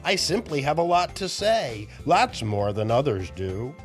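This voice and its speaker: Older man reading as a chatty, animated little girl. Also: on the high side, measured pace, faint music behind. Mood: earnest. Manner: earnest, enthusiastic, sing-song rhyming. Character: lovely, chatty, confident little girl with big voice.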